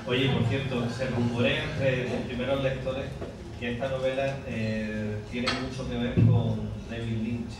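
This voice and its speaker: A man's voice: medium pitch, flat delivery, measured pace. Man questioning an author in a noisy café. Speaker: presenter interviewing the author. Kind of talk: conversational interview before an audience. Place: busy café with an audience. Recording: echoey café room, mic far off, loud crowd.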